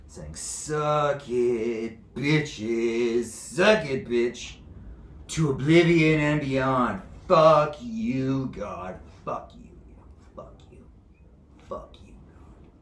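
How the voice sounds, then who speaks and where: A man's voice: low voice, lively intonation, normal speed. A man playing a menacing, foul-mouthed zealot who sits as divine judge, in a theatrical monologue with a profane, taunting, domineering manner. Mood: outraged. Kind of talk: theatrical monologue, swinging from whispers to screams. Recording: echoey room with clear background noise.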